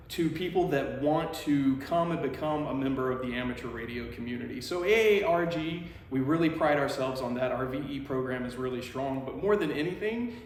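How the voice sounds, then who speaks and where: A man's voice: mid-range voice, a little hiss or hum. A man talking casually to camera, presenting a club as one of its members. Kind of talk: casual promotional talk to camera. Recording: echoey, reverberant room.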